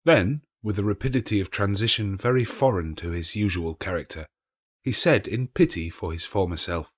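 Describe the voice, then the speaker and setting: A man reading a classic novel aloud as an expressive storyteller. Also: on the low side, normal speed, breathy edge, no echo, clean studio sound. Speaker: audiobook reader.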